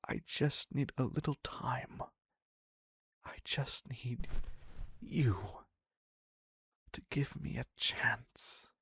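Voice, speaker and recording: Man acting as needy obsessive captor, husky breathy voice, extremely slow halting delivery, intimate dark romance audio drama, pleading. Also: medium pitch, dry recording, clean studio sound, full character voice. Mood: earnest, devoted. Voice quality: breathy, husky, often dropping to a whisper. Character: obsessive, needy male captor, former patient devoted to his captive doctor.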